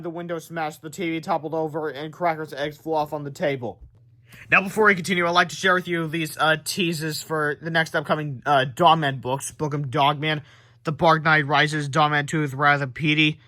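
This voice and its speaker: A man's voice: a little high, sharp tone. A man reading a children's book aloud dramatically, stumbling over the odd word and adding asides. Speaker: read-aloud narrator.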